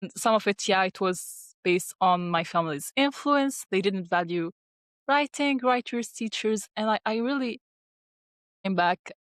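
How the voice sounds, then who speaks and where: A woman's voice: mid-range voice, lively intonation, a little slow, dry recording, clean studio sound. A young woman from Tunisia whose first language is Arabic, chatting as an interview guest with frequent laughs and fillers. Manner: chatty, laughs often, many fillers and restarts. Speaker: guest, dental student and ghostwriter. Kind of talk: casual interview talk.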